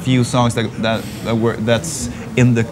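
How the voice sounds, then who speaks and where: A man from northern Sweden with a Swedish accent, a band member calmly explaining in an interview. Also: medium pitch, unhurried, noisy background. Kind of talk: casual interview answers.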